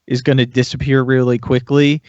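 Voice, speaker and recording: A man's voice: medium pitch, little pitch movement, fairly quick, darkish tone, no room sound. Dry, slightly dull-sounding man chatting. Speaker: podcast panelist reviewing toys. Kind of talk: casual chat.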